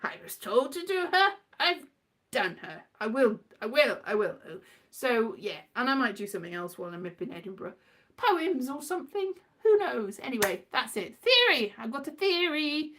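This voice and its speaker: Animated, high-voiced British woman, rambling. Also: normal speed. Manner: whimsical, digressive, playfully dramatic, breaks into quoted voices. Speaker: performer and vlogger. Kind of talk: rambling casual monologue.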